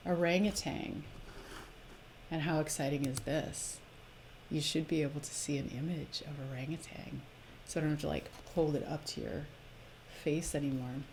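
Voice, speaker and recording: Female live-stream host with a low register, delivering spiritual readings and casual talk over slight noise. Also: fairly even intonation, moderate pace, vocal fry, darkish tone, poor audio. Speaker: host of a live spiritual oracle card presentation.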